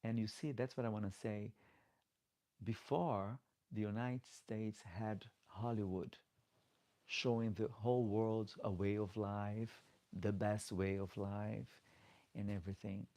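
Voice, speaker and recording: A man's voice: on the low side, fairly even intonation, takes it slowly, warm tone. A man with a Portuguese-speaking accent, a drag queen out of drag, chatting casually on a late-night livestream and reading comments aloud. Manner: chatty, reads comments aloud, pleads for likes. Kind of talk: casual live chat.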